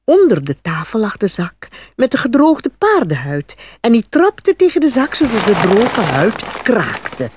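A woman's voice: on the high side, huge pitch swings, measured pace, background noise. A woman narrating a fairy tale from a script as a storyteller.

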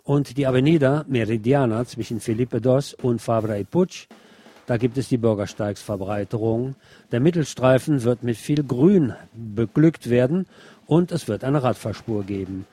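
A man's voice: mid-range voice, normal speed, dark tone, husky. A man presenting scripted news as a commentator, with a sardonic, ironic manner. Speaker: presenter of a local news programme.

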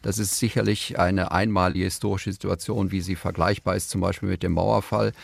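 A man's voice: low voice, little pitch movement, brisk, vocal fry, breathy edge, no room sound. A man working as a foreign correspondent answers hesitantly, with many fillers. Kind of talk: recorded interview answer.

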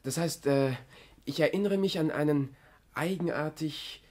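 A man's voice: on the high side, darkish tone, natural voice. Man playing a shaken, hesitant young eyewitness. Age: young adult. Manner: hesitant, halting, chatty. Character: shaken, talkative young motorist, male eyewitness. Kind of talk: 1960s German radio crime drama acting.

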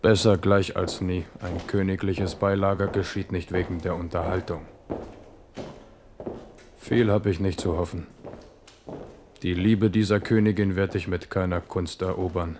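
A man's voice: low-pitched, level tone, a little slow, pauses often, background noise. An actor playing an aging, sardonic and commanding French king in a theatrical radio play style. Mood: resigned, brooding.

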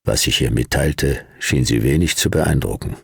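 An actor playing a world-weary ageing private detective, narrating a radio crime drama in a laconic, deadpan manner. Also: male voice, a bass voice, normal speed, creaky, husky, heavily acted. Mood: dry, laconic. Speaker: narrator and lead character.

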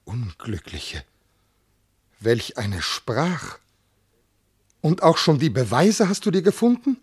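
An actor playing a jealous, tormented noble commander and husband in classical verse radio drama, declaiming intensely. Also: male voice, medium pitch, wildly swooping pitch, slow pace, husky, heavily acted. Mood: agitated. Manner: intense declamation, from hushed to outbursts.

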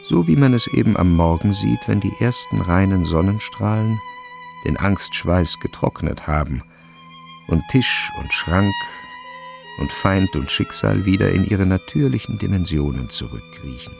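Deep creaky guy narrating a radio drama, slow and brooding, strings playing behind. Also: animated. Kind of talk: literary scripted narration, radio play. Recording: music behind the voice. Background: string music.

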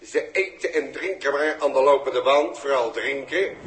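An actor in a 1960s radio drama playing a plain-spoken Rotterdam dockworker who talks bluntly and haltingly. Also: male voice, mid-range voice, a bit bright, natural voice. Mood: awkward, indignant. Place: hall. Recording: roomy, noisy old hall recording.

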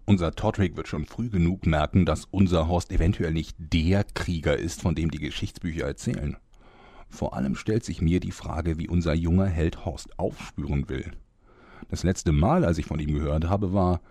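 A man's voice: deep voice, normal speed, mellow, no room sound. A man playing a pompous, grumpy old-timer narrator in a mock-epic audio play, given to chatty asides. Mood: flustered, indignant. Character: grand, grumpy old-timer male narrator of heroic tales.

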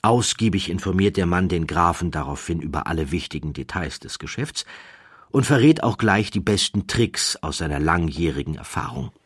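A man with a very deep voice narrates a literary tale. His tone is husky and rough-edged, recorded dry with no room around it. He delivers the scripted prose in a measured, slightly mannered way, with wry emphasis and deliberate pauses. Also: animated, dry recording. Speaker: audiobook narrator.

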